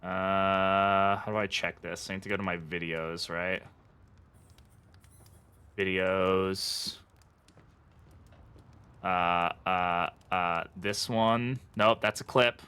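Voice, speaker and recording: A man's voice: deep voice, flat delivery, slow pace, long pauses, pushed and bright, smooth. A man with an American accent giving casual, chatty live game commentary, joking and muttering to himself as he talks to chat. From born in England, grew up in the United States. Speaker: game streamer.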